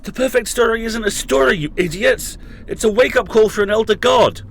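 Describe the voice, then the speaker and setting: A man's voice: high-pitched, fairly quick, gravelly, a little hiss or hum. A man playing a bitter, furious captive freed after years, speaking with dramatic emphasis in an audio horror drama. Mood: exasperated, urgent.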